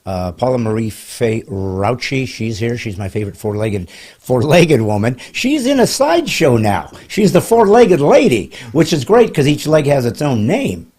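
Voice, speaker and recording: Husky, animated male comedian host. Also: moderate pace. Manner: wisecracking, theatrical and sarcastic, with big swings in pitch and loudness. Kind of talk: comedic podcast banter.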